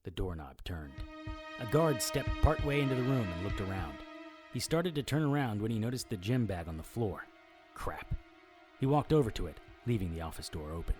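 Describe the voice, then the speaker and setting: An actor playing a wisecracking, sardonic immortal detective in a noir audio drama, narrating and trading dialogue. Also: male voice, on the low side, lively intonation, moderate pace, over music, close to an everyday voice. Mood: wry, tense. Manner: wry, sarcastic. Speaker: lead character and narrator.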